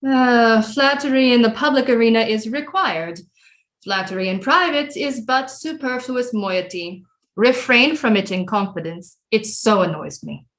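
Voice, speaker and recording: A woman playing a cunning, imperious French queen mother with grandiose, deliberate period speech in an audio drama. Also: on the high side, a bit bright. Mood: imperious, earnest. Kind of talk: theatrical audio drama acting.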